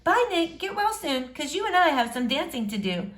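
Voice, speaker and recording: A woman's voice: sits high, big pitch swings, normal speed, a bit bright, voice pushed up. A woman voicing a perky, friendly schoolgirl in a storybook reading, chatty and upbeat.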